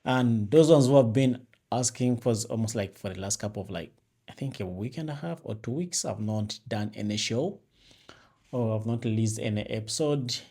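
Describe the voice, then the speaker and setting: A man's voice: medium pitch, moderate pace, dark tone. A young Ugandan man with a Ugandan accent, hosting his own vlog and talking casually to camera, rambling with fillers and restarts, on an external mic into a phone. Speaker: vlogger host.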